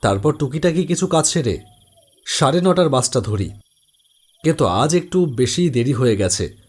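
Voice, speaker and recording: A man's voice: medium pitch, big pitch swings, normal speed, slightly rough. A man narrates a scripted first-person horror story.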